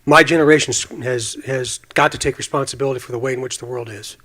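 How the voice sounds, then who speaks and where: A man's voice: medium pitch, fairly even intonation, fast talker, a bit bright. A man in his fifties speaking as a police official at a forum, earnest and emphatic, repeating phrases for stress. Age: 50s. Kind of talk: impassioned forum speech.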